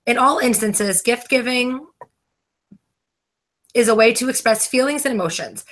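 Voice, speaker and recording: Young woman, bright crisp voice, prepared debate talk, careful listing. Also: fairly high pitch, a little slow. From New Jersey, USA. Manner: careful, listing items one by one. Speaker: debate participant. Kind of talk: reading a prepared presentation.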